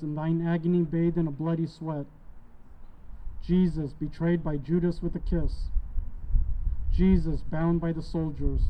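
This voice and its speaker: Slow-praying man, muffled recording. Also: a little high, a little hiss or hum. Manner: measured, formal, reverent recitation. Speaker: prayer leader.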